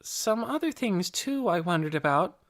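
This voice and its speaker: A man's voice: sits high, big pitch swings, moderate pace, fairly bright tone, pitched above the performer's own voice, heavily acted. A man voicing an eager, earnest young girl in an audiobook, breathless and keen to please. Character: eager, earnest young girl confessing what she saw. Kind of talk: audiobook character voice.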